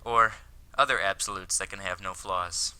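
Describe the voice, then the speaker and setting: A man voicing an earnest, lovestruck, self-conscious high school boy from an anime visual novel, in a wordy, dramatic read-aloud delivery. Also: fairly even intonation, normal speed, bright, forceful tone, smooth. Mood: wistful, dramatic.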